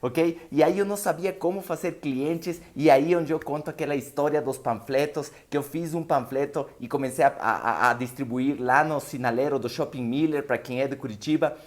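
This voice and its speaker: A man's voice: on the high side, moderate pace, hardly any pauses. A motivational speaker, a man whose first language is Spanish, talks to a live audience. His accent carries Spanish-speaking sounds. He tells his story emphatically and keeps checking that the listeners are following him. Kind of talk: live motivational seminar talk.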